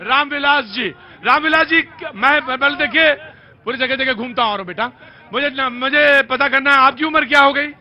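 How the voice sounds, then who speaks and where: A man's voice: very high voice, moderate pace, highs cut off. A man hosting a street debate with the public, interjecting, prodding and repeating what people say. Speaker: TV debate host.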